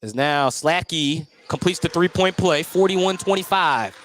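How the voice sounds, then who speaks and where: Higher-voiced American man calling basketball. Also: expressive, unhurried, fairly bright tone, slight background noise. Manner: clipped, descriptive running call. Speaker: play-by-play announcer. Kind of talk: live radio basketball commentary.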